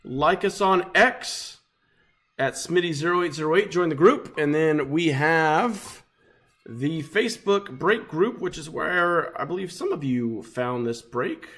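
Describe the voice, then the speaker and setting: A young adult man hosting a live sports card break, slightly raspy and chatty as he opens packs. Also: fairly high pitch, animated, a little slow, fairly bright tone. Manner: upbeat, chatty, talks while opening packs.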